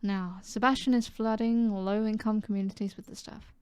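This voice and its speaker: A woman with a British accent voicing a seductive, self-assured mob boss in an ASMR roleplay, soft and flirtatious, often dropping to a whisper. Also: mid-range voice, fairly even intonation, smooth, dry recording, close to an everyday voice. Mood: smug, businesslike. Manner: flirtatious, teasing, unhurried.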